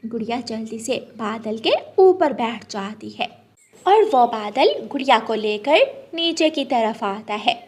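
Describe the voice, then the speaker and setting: High-voiced woman narrating a children's story. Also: expressive, slow, a little mellow.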